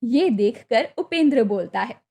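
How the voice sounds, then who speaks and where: A woman's voice: a little high, lively intonation, a little slow, gravelly, mellow, husky. A woman narrating a scripted moral story for a cartoon as its storyteller.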